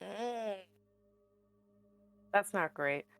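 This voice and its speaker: A woman's voice: medium pitch, expressive, extremely slow. A woman playing a tabletop game, chatting in a casual, playful way. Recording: music under the voice.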